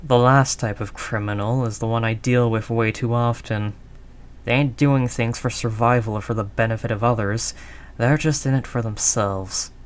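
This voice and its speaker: A man's voice: mid-range voice, fairly even intonation, moderate pace, clean tone, lightly acted. A man playing a world-weary, doting mafia boss in an intimate roleplay, talking in teasing street slang with pet names. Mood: musing, assured. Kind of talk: intimate scripted roleplay audio drama monologue.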